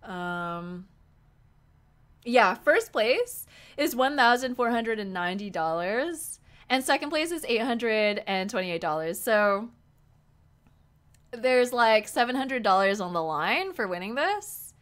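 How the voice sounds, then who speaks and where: A young woman in her twenties talks to her stream chat while playing online poker. She is excitable and giggly, and her voice is going hoarse. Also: on the high side, slow. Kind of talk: live-stream commentary to chat. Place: small room indoors at a desk.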